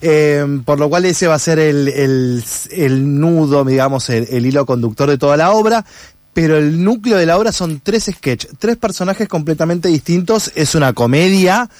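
An Argentine man giving conversational interview answers, reflective and halting as he rephrases his thoughts. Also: on the high side, lively intonation, darkish tone, dry recording, studio quality. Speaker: interviewed actor and content creator.

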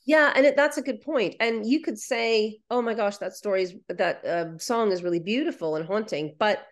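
A woman's voice: medium pitch, normal speed. A young adult woman whose first language is Ukrainian, speaking earnestly as an interview guest and often restarting her sentences. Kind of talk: conversational interview.

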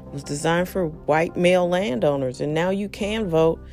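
A hoarse voice, worn down and close to giving out, rants in a blunt and exasperated way. The talk is an unscripted monologue addressed straight to the listener. Soft music runs quietly underneath. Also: mid-range voice, moderate pace. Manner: frustrated, blunt, ranting. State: hoarse, losing voice. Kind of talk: casual spoken monologue.

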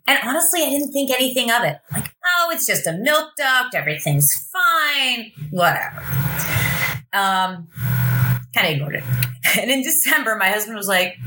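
Bright, lively woman in her forties. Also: fairly high pitch, slight background noise. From Pennsylvania, USA. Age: 40s. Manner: chatty storyteller, frequent "like" and laughs. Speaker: guest, veterinarian. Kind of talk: casual interview chat.

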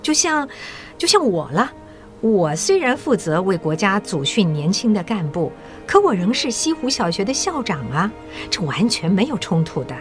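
An actress playing a middle-aged female school principal who secretly recruits agents, speaking in a measured, coaxing and kindly authoritative way in an audio drama. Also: mid-range voice, big pitch swings, faint music behind, lightly acted. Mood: reassuring. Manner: measured, coaxing, elder's patient tone.

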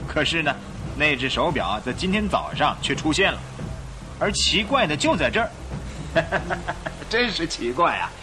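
A jovial, gossipy gentleman passenger in radio drama. His somewhat high voice moves animatedly as he relishes his tale. Music plays clearly behind him. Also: very animated, measured pace, poor audio. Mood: amused, gossipy. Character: jovial gossipy first-class male passenger. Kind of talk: Chinese radio drama acting.

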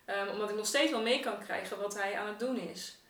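A woman's voice: medium pitch, brisk, a bit bright, recorded from a distance. Echoey, reflective woman, off-mic. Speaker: artist, podcast guest. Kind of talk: casual podcast discussion.

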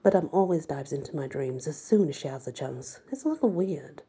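A woman reading a first-person story aloud with expressive, dramatic delivery and a husky, breathy voice. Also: low voice, fairly quick, slight background noise. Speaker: amateur audiobook reader.

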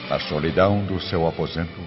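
A man giving solemn, measured scripted narration for a radio drama. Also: deep voice. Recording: muffled radio recording over music.

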